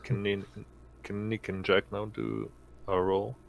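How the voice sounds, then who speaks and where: A man's voice: lowish voice, very animated, a little slow, creaky, highs cut off. A man playing a tabletop game, asking questions in casual conversation.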